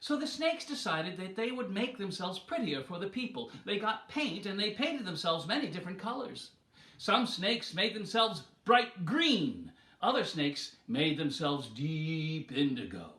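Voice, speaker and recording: A man telling an animal folktale in a theatrical, deliberate, dramatic way. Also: high-pitched, sing-song, bright, forceful tone, echoey room. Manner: dramatic, deliberate delivery with acted voices. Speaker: storyteller.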